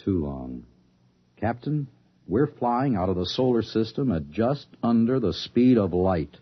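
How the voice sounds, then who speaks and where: A fatalistic spaceship navigator, an American man with a husky, widely moving voice. He is precise and dryly sardonic, in vintage radio drama style on a dull recording. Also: fairly low pitch, very animated, measured pace, heavily acted. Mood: grim.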